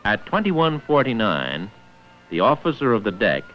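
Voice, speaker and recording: A man's voice: fairly low pitch, very animated, moderate pace, soft background music. A man narrating a scripted 1940s instructional film in a formal, precise manner, on an old muffled film soundtrack. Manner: formal, precise enunciation of figures.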